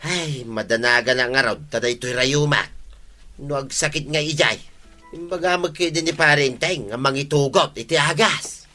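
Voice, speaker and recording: A man's voice: fairly high pitch, moderate pace, sharp tone, soft background music. A man with an Ilocano accent playing a reluctant, fretful older villager with rheumatism in a radio comedy drama. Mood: worried, reluctant.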